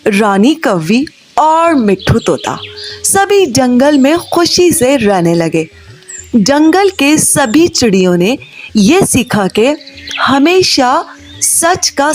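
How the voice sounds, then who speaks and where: A woman tells a children's story in a high, very animated voice. She reads her script at an unhurried pace as a narrator, with music playing underneath. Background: background music.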